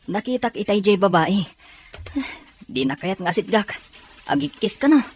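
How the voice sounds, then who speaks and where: A woman's voice: medium pitch, expressive, moderate pace, slight background noise, lightly acted. A woman playing an earnest, persistent young male student in an audio drama. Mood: dejected.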